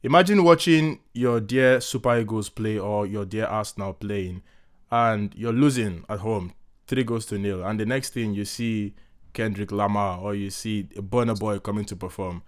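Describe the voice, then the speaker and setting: A man's voice: lowish voice, moderate pace, smooth. A man hosting a casual sports podcast, upbeat and chatty with playful teasing. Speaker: podcast host.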